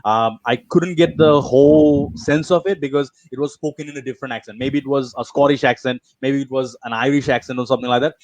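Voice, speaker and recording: A man hosting an interview over a video call, relaxed and encouraging with slight hesitations. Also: mid-range voice, brisk, few pauses, dry recording, muffled recording. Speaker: podcast host. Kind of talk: conversational interview.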